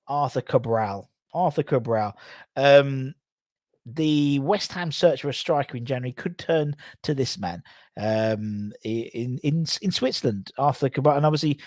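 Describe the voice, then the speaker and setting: A man's voice: medium pitch, a little mellow, no room sound. Chatty man, dry close mic. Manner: chatty, rambling, with fillers and asides. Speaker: fan channel host. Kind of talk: casual live-stream chat.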